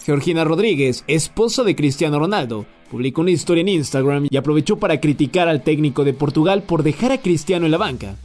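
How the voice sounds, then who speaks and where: A man hosting a sports news podcast, reading the day's football stories in a brisk, scripted way. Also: a little high, faint music behind. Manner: brisk, even headline delivery.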